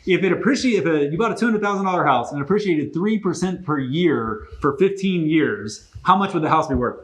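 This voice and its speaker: Male business-chat host, voice a bit higher than average, conversational, explanatory, gently teasing. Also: lively intonation, brisk. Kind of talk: casual business interview.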